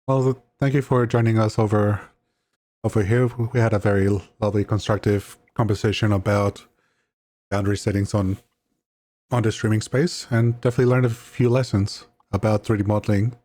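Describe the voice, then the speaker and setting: A man's voice: fairly low pitch, flat delivery, fairly quick, warm tone. A man hosting a podcast who chats casually and in a friendly way, often restarting his words. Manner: friendly, hesitant, repeats words. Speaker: podcast host.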